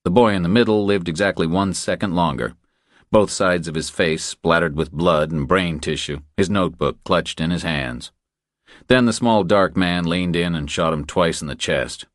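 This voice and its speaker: Deep-voiced male thriller narrator, dry sound. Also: moderate pace, fairly bright tone, dry recording. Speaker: audiobook narrator.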